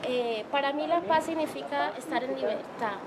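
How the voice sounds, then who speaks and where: A woman's voice: high-pitched, fairly quick, a loud crowd. A woman from Colombia, speaking in short, heartfelt phrases in an interview. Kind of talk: interview statement. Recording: loud background noise.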